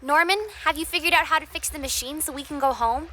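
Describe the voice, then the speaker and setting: Casting: woman as sarcastic young puppet traveller, high bright voice, exaggerated delivery, light background noise. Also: fast, soft background music, a faint crowd. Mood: complaining. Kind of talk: children's puppet show acting.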